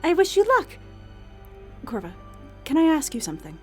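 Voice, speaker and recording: A woman's voice: high-pitched, big pitch swings, normal speed. A woman playing a curious, anxious young arena master of ceremonies in an audio drama, hesitant and eager to please. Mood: curious, nervous. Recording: music bed under the voice.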